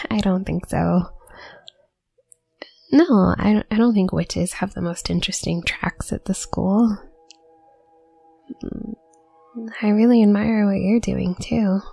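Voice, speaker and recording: A woman's voice: medium pitch, vocal fry, dark tone, slightly rough, dry recording, exaggerated voice. A woman voicing a shy, flustered young student witch who stutters nervously and trails off in an intimate audio roleplay. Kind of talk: intimate audio roleplay, soft-spoken acting.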